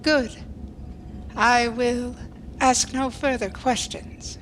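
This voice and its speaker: A woman's voice: fairly high pitch, fairly even intonation, very slow, slight background noise. A woman playing a coolly logical alien starship officer, formal, precise and unemotional, in a science fiction audio drama. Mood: formal, detached.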